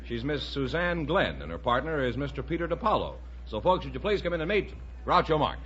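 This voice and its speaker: A man's voice: fairly high pitch, sing-song, a little fast, fairly bright tone. A man announcing a 1940s radio quiz show in a scripted presenter's style. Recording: muffled, faintly noisy vintage radio recording.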